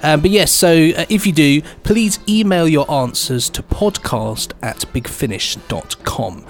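A man's voice: on the high side, animated, measured pace. A man co-hosting a podcast, chatting casually and reading out listener emails with affable, slightly hesitant phrasing. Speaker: podcast co-host.

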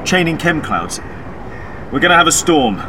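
An actor playing a wary field surveyor in an audio drama, terse and dry. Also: male voice, on the high side, very animated, moderate pace, background noise. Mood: tense.

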